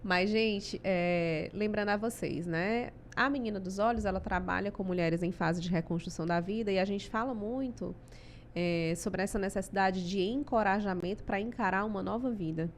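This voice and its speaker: A woman hosting a podcast keeps things friendly and upbeat, at a middle pitch. A faint hum from the studio room sits behind her voice. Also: normal speed. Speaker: podcast host, interviewer. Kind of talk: casual podcast chat.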